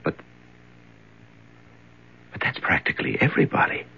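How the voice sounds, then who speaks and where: An actor playing an exhausted, wary prisoner who speaks haltingly and earnestly, with a gravelly, husky voice, in a 1970s radio drama. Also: male voice, sharp tone, natural voice. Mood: wary, confused. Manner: halting, stammering. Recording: dull 1970s radio recording.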